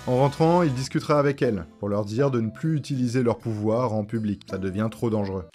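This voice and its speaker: Expressive man narrating over music. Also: medium pitch, fast. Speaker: video essayist presenter. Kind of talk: scripted narration with casual joking asides. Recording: music behind the voice. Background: background music.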